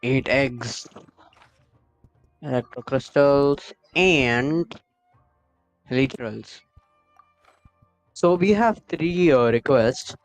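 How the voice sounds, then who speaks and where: A man's voice: medium pitch, extremely slow, pauses often, soft background music, rough recording. A man chatting casually while he plays a game on a live stream. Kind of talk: casual gameplay chat.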